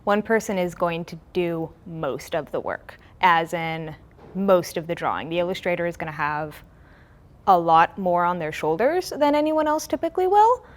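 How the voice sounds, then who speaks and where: A young woman talks through creative work in an interview. Her voice sits slightly low, and she explains things as a writer and co-creator would. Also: animated, normal speed.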